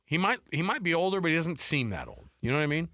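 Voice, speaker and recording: A man's voice: on the high side, sing-song, fast talker, pushed and bright, no echo. A man in his forties or older hosting a sports talk show, bantering casually and repeating himself for emphasis. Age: 40s or older. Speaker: sports radio show host.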